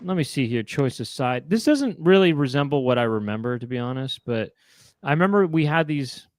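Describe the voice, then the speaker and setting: A man's voice: mid-range voice, lively intonation, fairly quick. A man co-hosting a casual chat show, joking and rambling with lots of restarts. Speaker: co-host.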